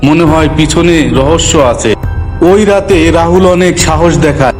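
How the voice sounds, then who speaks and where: A man's voice: on the high side, moderate pace, darkish tone, soft background music. A man narrating a scripted horror story in a dramatic storytelling manner.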